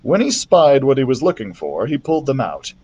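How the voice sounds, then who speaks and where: A man reads a children's story aloud in a friendly, chatty way. His voice moves with lively rises and falls at a middle pitch. It comes through a built-in laptop mic. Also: a little fast, husky, dull audio. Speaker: librarian storyteller.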